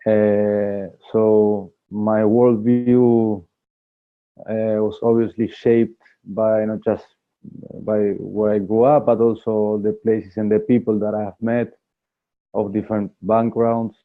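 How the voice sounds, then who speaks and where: A man's voice: fairly low pitch, flat delivery, takes it slowly. A man from Argentina introducing himself on a group video call, hesitant with many fillers. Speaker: course participant. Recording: phone-like call audio.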